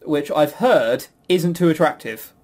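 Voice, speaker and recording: Higher-voiced man in rambling, jokey banter. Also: measured pace, a little mellow. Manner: rambling, jokey, self-mocking, swears casually. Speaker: podcast co-host and quizmaster. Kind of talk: casual banter.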